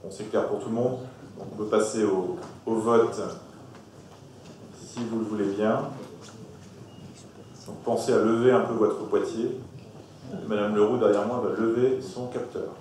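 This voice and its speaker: A man's voice: on the low side, steady tone, measured pace, long pauses, darkish tone. Echoey, distant man chairing a meeting. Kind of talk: procedural chairing, running a vote. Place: large echoey meeting hall. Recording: echoey hall, distant mic, faint noise.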